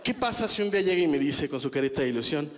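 A man in his thirties with a Mexican accent works a small club crowd through a handheld mic. His delivery is chatty and self-mocking, dotted with crude slang, and his pitch sits a little high and swoops around a lot. A long reverb trails each line. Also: lively intonation, rapid-fire. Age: 30s. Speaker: stand-up comedian. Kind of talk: stand-up comedy set. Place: small club stage with a live audience.

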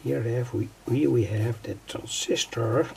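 Older guy doing a hobby tutorial, really slow and deliberate, repeats himself, some background noise at his workbench. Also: mid-range voice, darkish tone. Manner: halting, deliberate, repeating phrases. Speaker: electronics hobbyist presenter. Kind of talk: unscripted hands-on tutorial explanation. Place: indoors at a home workbench. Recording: clearly noisy home recording.

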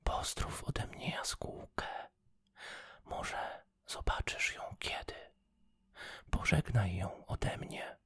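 A man voicing a tiny sad girl from a fairy tale, saying farewell in a soft whisper. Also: slow, dry recording.

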